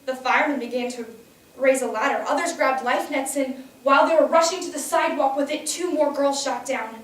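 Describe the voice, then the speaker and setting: A woman performs a young reporter shaken by what they saw, in a stage monologue. The high voice recounts events with grim, dramatic weight. The recording is distant and full of room echo. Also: brisk, close to an everyday voice. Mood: grim, horrified. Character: young news reporter, shaken horrified eyewitness. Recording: echoey room, distant mic.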